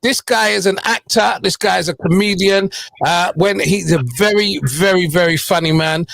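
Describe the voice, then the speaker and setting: Man, radio host, middle-aged, gravelly, dry booth sound, playful. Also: sits high, moderate pace, a bit bright, no echo. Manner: chatty, playful, teasing. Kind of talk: casual radio interview.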